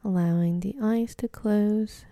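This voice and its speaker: Very mellow, slow woman guiding meditation. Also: mid-range voice, fairly even intonation, no echo. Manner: gentle, unhurried instruction with pauses. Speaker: meditation guide. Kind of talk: guided meditation.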